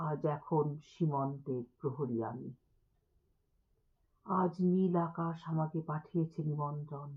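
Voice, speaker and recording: Slow, creaky-voiced woman reciting poetry. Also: low voice. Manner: measured, deliberate phrasing with expressive pauses. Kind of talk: dramatic Bengali poetry recitation. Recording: thin, band-limited phone-like sound.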